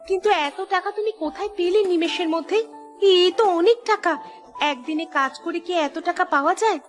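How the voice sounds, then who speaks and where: A woman voicing a sharp-tongued village wife in a cartoon, scolding and stern. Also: very high voice, brisk, faint music behind. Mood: suspicious. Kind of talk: Bengali cartoon voice acting.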